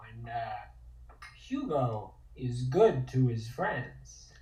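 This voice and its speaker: A man's voice: mid-range voice, sing-song, very slow, warm tone. A man acting a very drunk, jovial and overfamiliar character in a comic table read, with a slurred, boisterous delivery. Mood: tipsy, chummy. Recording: roomy, distant microphone.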